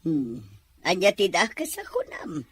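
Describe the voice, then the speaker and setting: A woman's voice: on the low side, wildly swooping pitch, a little slow, fairly bright tone, slightly rough, dry recording, exaggerated voice. A woman playing an inquisitive middle-aged mother in a radio serial. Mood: questioning. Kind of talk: Philippine radio serial acting.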